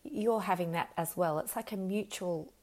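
A woman with a slightly croaky voice, talking earnestly and reflectively as a podcast host and coach, with frequent ums and self-corrections. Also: on the low side, moderate pace, a little mellow. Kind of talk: unscripted solo podcast talk.

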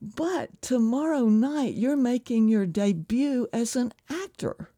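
A woman interviewing guests on a public radio program, friendly and measured. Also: a little high, animated, warm tone.

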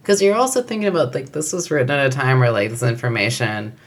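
A podcast co-host in casual chat, asking questions and praising details with eager, halting restarts. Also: medium pitch. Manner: questioning, enthusiastic, hesitant restarts.

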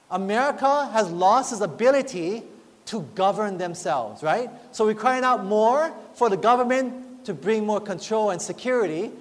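A man preaching a sermon as pastor, often asking the congregation whether they agree. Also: high voice. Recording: long hall reverb.

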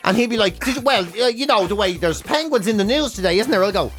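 Irish man, radio presenter, animated casual banter, storytelling, faint music behind. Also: high-pitched, fast. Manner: acts out both sides of remembered exchanges.

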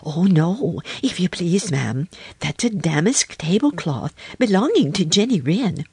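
A woman's voice: on the low side, very animated, unhurried, darkish tone, husky, voice pushed up, heavily acted. A woman voicing a stout, polite, fussy little hedgehog washerwoman in a children's storybook, chatty and deferential. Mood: fussy, eager.

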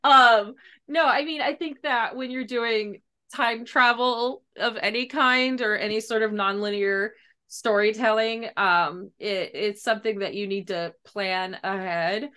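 A woman's voice: on the high side, steady tone, unhurried, bright, forceful tone, clear, resonant tone. A woman talking casually as a guest author in an interview, chatty and loose, often saying like and kinda. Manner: chatty, hedging, frequent "like" and "kinda".

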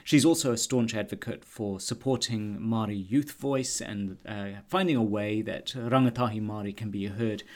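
A man's voice: fairly low pitch, normal speed, few pauses, a little mellow. A man hosting a casual interview, chatty with rambling lead-ins, recorded at an outdoor cafe. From England. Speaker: podcast host. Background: cafe and traffic noise.

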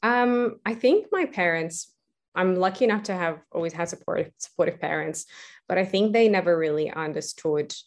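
Young adult woman, lowish voice, interview guest, reflective and full of fillers. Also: normal speed. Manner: reflective, many fillers and restarts. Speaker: guest, entrepreneur. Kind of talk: casual interview answers.

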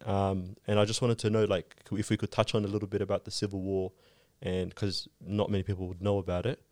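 A man's voice: sits low, fast, dry recording. A man born in Somalia, hosting a casual interview podcast and talking loosely with lots of fillers. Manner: relaxed, filler-heavy, says "like" and "type of thing" often. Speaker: podcast host.

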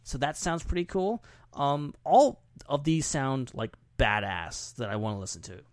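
A man's voice: mid-range voice, expressive, a little slow, dry recording, clean studio sound. A man hosting a podcast in casual chat, talking in an informal, jokey, rambling way. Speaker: podcast host.

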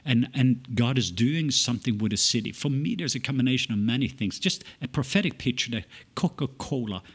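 A man's voice: medium pitch, lively intonation, fairly quick, breathy edge. A middle-aged man from Norway, a ministry leader chatting in an interview, enthusiastic, laughing often and restarting sentences. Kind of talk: casual interview chat.

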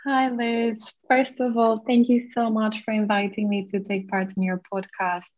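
A woman in her thirties, originally from Portugal, guests on a podcast as a yoga teacher. She talks reflectively and gratefully, pausing on small fillers as she thinks. Her voice comes through a slightly dull, lo-fi channel. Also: a little high, level tone. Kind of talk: conversational interview.

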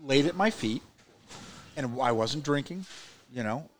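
A man's voice: medium pitch, lively intonation, unhurried, slight background noise. A man from Indiana, a podcast co-host, telling a story in a rambling way full of "you know what I mean" asides. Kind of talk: casual chat, storytelling.

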